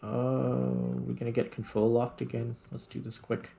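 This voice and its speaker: Gravelly man casually narrating his gameplay. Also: mid-range voice, completely flat delivery, moderate pace, dark tone, a little hiss or hum. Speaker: host, gaming video creator. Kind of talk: casual gameplay commentary, thinking aloud.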